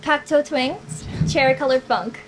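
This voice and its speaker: A woman's voice: high voice, a little slow, low-quality recording. A woman reading the part of an exuberant, self-aware, teasing girl at a live screenplay table read. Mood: playful teasing.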